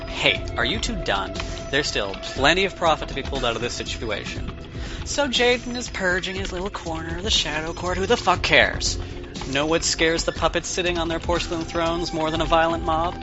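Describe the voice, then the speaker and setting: Audio drama: brusque, greedy opportunist, played by a man, higher male voice, impatient and profane, music underneath. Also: lively intonation, normal speed, natural voice. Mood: exasperated, scornful. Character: impatient, greedy, foul-mouthed corporate schemer and opportunist. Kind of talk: scripted audio drama acting. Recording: slightly dull lo-fi recording. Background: music, keyboard typing.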